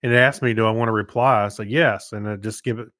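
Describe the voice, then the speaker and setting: A man's voice: on the low side, fairly even intonation, fast talker, a bit bright, no echo, studio quality. A man co-hosting a podcast in casual conversation, sharing everyday anecdotes. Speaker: podcast co-host. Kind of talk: casual chat, personal anecdotes.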